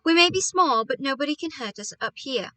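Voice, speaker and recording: A woman voicing excitable, stubborn young boy birds in a storybook, in exclamations. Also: sits high, fairly quick. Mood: pleased.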